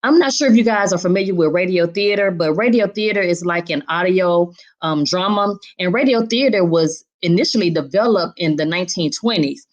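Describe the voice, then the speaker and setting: A woman's voice: on the low side, fairly quick, hardly any pauses, lo-fi sound. A woman hosting an upbeat interview show in an informal, chatty way, often repeating short affirmations. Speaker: show host.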